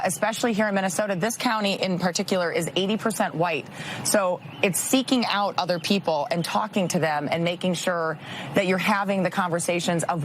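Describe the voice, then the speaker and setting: Low-voiced woman reporting over street noise. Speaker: broadcast reporter. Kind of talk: unscripted live reporting.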